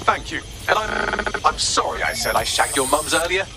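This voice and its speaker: A man's voice: fairly high pitch, big pitch swings, moderate pace, creaky, noisy background. A man voicing a witty, snide AI assistant with a British accent, articulate and sardonic, in a video game. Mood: regretful, polite. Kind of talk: scripted video game voice acting.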